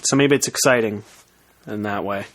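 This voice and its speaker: A young man co-hosting a podcast, telling a story to friends in a halting, self-interrupting way with casual swearing. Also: on the low side.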